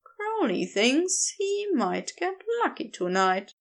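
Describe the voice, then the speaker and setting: A woman reading scripted fiction as a podfic narrator, in an expressive, deliberate storytelling manner. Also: high-pitched.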